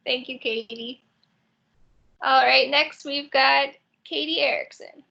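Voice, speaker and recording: Host woman: high, bright, slightly gravelly voice over a muffled video call, brisk roll-call manner. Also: steady tone. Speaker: orientation host. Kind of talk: online orientation session.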